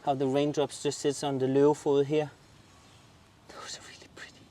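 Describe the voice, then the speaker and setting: An enthusiastic man with a Danish accent walks through a garden, chatting casually about what is in bloom. He keeps exclaiming over the plants and pointing things out. His voice sits at an ordinary middle pitch for a man. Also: completely flat delivery, normal speed, warm tone. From southern Denmark. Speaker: garden show host. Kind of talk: casual garden tour chat. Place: outdoor garden.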